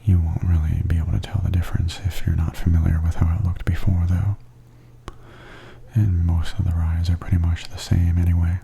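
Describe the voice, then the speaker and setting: A man giving softly spoken ASMR tour commentary in a hushed, breathy half-whisper. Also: sits low, level tone, brisk, a little hiss or hum. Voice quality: soft, breathy. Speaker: host of ASMR walking tour videos.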